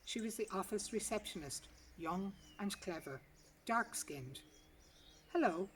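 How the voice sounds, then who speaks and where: A woman storyteller reading literary fiction aloud in a measured, sombre manner. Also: medium pitch, expressive, a little hiss or hum. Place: outdoors in a garden. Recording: outdoor garden recording, distant mic, birdsong. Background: birdsong.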